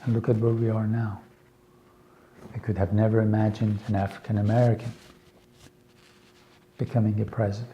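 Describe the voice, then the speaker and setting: A man whose first language is Farsi, giving a slow, earnest lecture for English learners with many pauses and fillers. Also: lowish voice, flat delivery, mellow, highs cut off, a little hiss or hum. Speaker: teacher.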